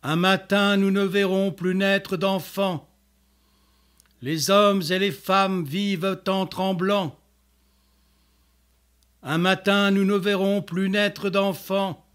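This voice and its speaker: High-pitched man reciting poetry very slowly. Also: fairly even intonation, fairly bright tone, clean tone. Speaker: poet reciting own poem.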